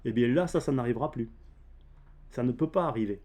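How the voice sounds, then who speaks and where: Mellow-voiced southern French man, rambling. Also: medium pitch, a little fast. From south of France. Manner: hesitant, rambling, explanatory. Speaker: DIY video channel host. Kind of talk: casual unscripted vlog talk.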